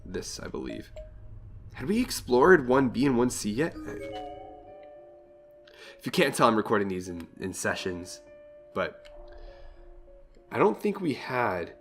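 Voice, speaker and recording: A man's voice: on the low side, lively intonation, measured pace, pauses often, soft background music. Young man with a nasal cold. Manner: chatty, thinks aloud, reads game text aloud. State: has a cold, slightly nasal. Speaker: let's play host. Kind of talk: casual gameplay commentary.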